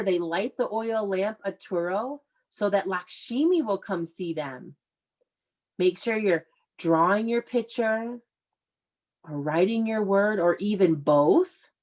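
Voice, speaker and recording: A woman's voice: mid-range voice, darkish tone. A woman working as an elementary school teacher, leading an interactive lesson for young children with encouraging praise and step-by-step instructions.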